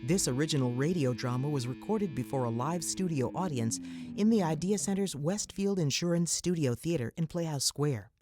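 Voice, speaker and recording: A man's voice: fairly high pitch, expressive, moderate pace, music in the background. A man announcing a radio production in a scripted introduction.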